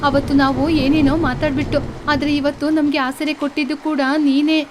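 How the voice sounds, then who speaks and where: Woman as an earnest high-voiced storybook bird. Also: level tone, normal speed, lightly acted. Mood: compassionate. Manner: earnest, emotional. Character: kind, forgiving female sparrow and her pleading bird neighbours. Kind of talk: children's animated bedtime story. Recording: clear background noise, ambient sound.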